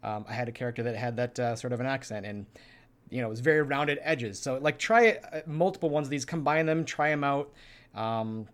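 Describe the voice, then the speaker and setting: Mid-pitched man chatting through tips. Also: a little fast. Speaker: show co-host giving role-playing tips. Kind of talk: casual instructional chat.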